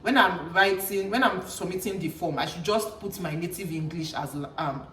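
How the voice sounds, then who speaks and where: A woman's voice: fairly low pitch, fairly bright tone. A young Nigerian woman, a channel host and graduate student, giving chatty advice and using "like" a lot. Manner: fast, chatty, peppered with "like". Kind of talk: casual advice chat. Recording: roomy echo, distant mic, faint background noise.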